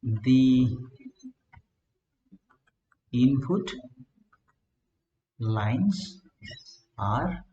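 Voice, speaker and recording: A man giving a classroom lecture on circuit design, speaking in slow, halting word groups and often checking in with "right?". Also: mid-range voice, expressive, dark tone, lo-fi sound. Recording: echoey room, faint background hum.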